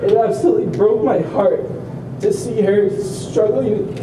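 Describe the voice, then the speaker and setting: A young man giving an earnest, heartfelt speech at a community walk, slightly halting as he speaks. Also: high-pitched. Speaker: family member speaker at an awareness walk. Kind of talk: prepared speech to a crowd. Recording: echoey, distant, noisy event recording.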